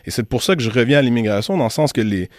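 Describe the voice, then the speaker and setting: A man's voice: mid-range voice, racing, no echo, studio quality. A man with a Quebec French accent hosting a current affairs radio discussion, telling anecdotes and giving his opinions in a reflective way. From Quebec, Canada. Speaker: radio host. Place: radio studio.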